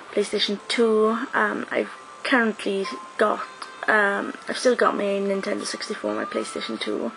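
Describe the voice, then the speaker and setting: A woman's voice: mid-range voice, creaky, noisy background. A young woman, chatting casually to her webcam, full of ums and likes. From South Wales. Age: young adult. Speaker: vlogger. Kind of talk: casual talking-to-camera vlog. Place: small room indoors. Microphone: webcam at close range.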